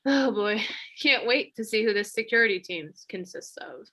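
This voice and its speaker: Bright, sardonic woman running a meeting. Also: medium pitch, animated, normal speed. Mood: businesslike, wry. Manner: dry sarcasm, businesslike. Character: brisk, sardonic female office meeting leader. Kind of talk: comedy web series acting.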